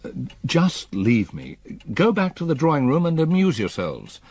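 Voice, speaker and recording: An actor playing a middle-aged, haunted and reserved English gentleman stepfather in a radio drama, with a husky voice and formal, hesitant manner. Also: fairly high pitch, wildly swooping pitch, normal speed, heavily acted. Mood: agitated.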